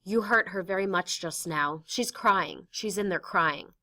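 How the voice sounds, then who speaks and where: A woman reading a stage play aloud and voicing a gentle, patient middle-aged father in a calm, soothing way. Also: on the low side, measured pace.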